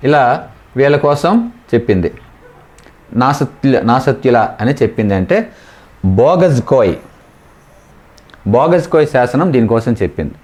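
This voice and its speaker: A man's voice: mid-range voice, a little mellow. A man with a Telugu accent working through exam questions as a coaching teacher, explaining informally and repeating key terms.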